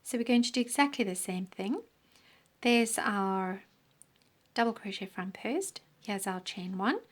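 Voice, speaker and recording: A woman teaching a crochet pattern step by step, counting stitches aloud, her voice croaky and often dropping into a breathy half-whisper. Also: mid-range voice, lively intonation, a little slow. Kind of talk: step-by-step craft tutorial.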